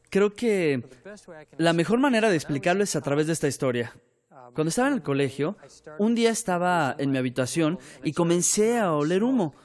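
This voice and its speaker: A man who grew up in Ecuador as a missionary's son, telling his own story earnestly and turning it into a lesson of faith. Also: a little high. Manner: earnest storytelling with preaching turns. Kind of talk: documentary interview testimony.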